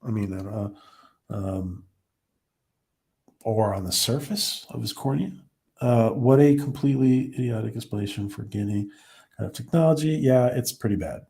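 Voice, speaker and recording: A man's voice: on the low side, normal speed, vocal fry, pauses often, warm tone. A man hosting a casual live stream, rambling with many fillers and restarts while his voice starts to go. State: losing his voice. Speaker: live-stream host reviewing a TV episode. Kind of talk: casual live-stream chat reading viewer comments.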